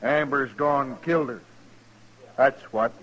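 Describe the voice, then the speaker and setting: Guy playing an accusing old west townsman, american, rustic and real slow, muffled old radio recording. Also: medium pitch, fairly bright tone, natural voice. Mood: blunt, accusing. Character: blunt, accusing frontier townsman. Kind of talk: old-time radio western acting.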